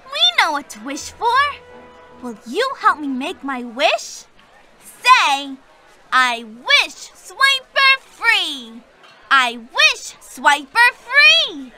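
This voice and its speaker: A woman's voice: high-pitched, big pitch swings, very slow, exaggerated voice, poor audio. A woman voicing a cheerful young explorer girl who talks directly to the player in a children's game, with game music behind. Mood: excited. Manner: bright, encouraging, speaks to the player. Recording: game audio over clear music.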